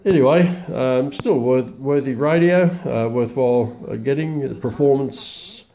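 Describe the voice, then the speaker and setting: A man's voice: medium pitch, measured pace, dark tone, rough recording. A man presenting a casual, unscripted product walkthrough in a hesitant, matter-of-fact way. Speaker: presenter of a radio review video.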